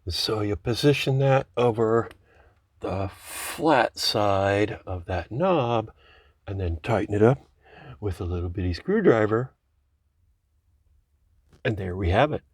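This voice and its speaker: A man's voice: on the low side, lively intonation, slow, long pauses, husky, dry recording, clean studio sound. A man hosting a car hobby video, narrating his hands-on work casually, musing aloud and easily amazed. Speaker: host of a car hobby channel.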